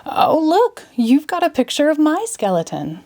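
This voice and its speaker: A woman puts on a genteel male giraffe for a storybook. He sounds pleased with himself, with a raised pitch and swooping intonation. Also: big pitch swings, unhurried, a little mellow, heavily acted. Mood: delighted. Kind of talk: children's storybook read-aloud.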